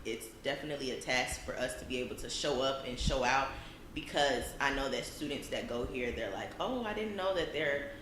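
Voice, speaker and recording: A woman's voice: very deep voice, lively intonation, a little fast. A young woman from Chicago, a student giving proud, earnest interview answers. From Chicago, USA. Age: young adult. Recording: roomy with background noise.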